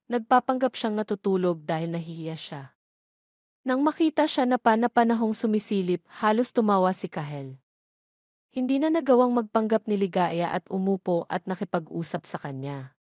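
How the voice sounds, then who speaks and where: A woman's voice: medium pitch, a little mellow, no echo, studio quality. A woman reading scripted narration of a romantic story, as a storyteller.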